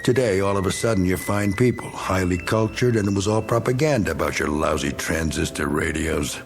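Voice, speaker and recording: Low-voiced boozy american war veteran, bitter and needling, slangy tough guy radio drama, faint music under it. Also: a little fast, vocal fry. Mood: defensive. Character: bitter, bigoted middle-aged war veteran, boozy and self-pitying. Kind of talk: dramatic radio play acting.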